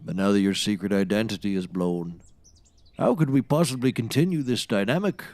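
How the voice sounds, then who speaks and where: A man's voice: on the low side, fairly quick, a little mellow, no room sound, close to an everyday voice. A man playing a brash, scheming, theatrical sidekick in a scripted audio comedy, bossy and mock-grand as he teases. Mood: scheming, excitable.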